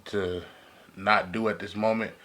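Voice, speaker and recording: A man's voice: lowish voice, level tone, unhurried, sharp tone. A man co-hosting a podcast, talking in a colloquial, slangy way in casual panel chat. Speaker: podcast co-host.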